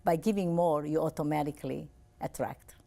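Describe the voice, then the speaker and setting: A woman's voice: low-pitched, a little fast, gravelly, mellow. A woman from Romania, a life coach giving an earnest, upbeat television interview and often repeating her phrases.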